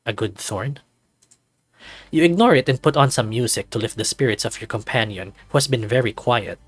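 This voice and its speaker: A man's voice: medium pitch, moderate pace, breathy edge, no room sound. A man reading a visual novel as a narrator, with casual, musing asides. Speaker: visual novel reader and commentator.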